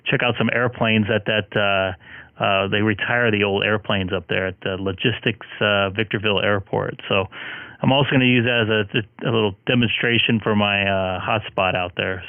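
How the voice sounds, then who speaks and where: Hoarse man over a tinny radio link. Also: mid-range voice, no room sound. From southern California. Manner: friendly, rambling, many filler sounds. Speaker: ham radio operator. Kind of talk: casual on-air radio chat. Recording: phone-like radio transmission.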